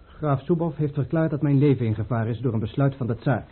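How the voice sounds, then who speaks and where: An actor playing a proud, suspicious crown prince in a radio drama, speaking formally and indignantly. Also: male voice, mid-range voice, quick pace, breathy edge, lightly acted. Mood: guarded. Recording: muffled, phone-like old radio play recording.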